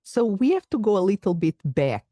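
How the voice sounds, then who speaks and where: A woman's voice: mid-range voice, very animated, moderate pace, dark tone, no room sound. A woman from Portugal with a Portuguese accent, a psychologist and coach explaining her views in a thoughtful conversational interview, with occasional restarts.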